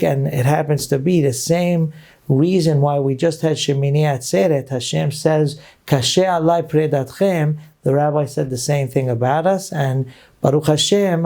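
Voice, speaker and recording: A middle-aged man from Brooklyn, speaking as a guest rabbi. His voice sits a little high yet sounds dark and gravelly. He recounts memories earnestly and drops in Hebrew phrases. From Brooklyn, New York. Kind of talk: storytelling interview talk.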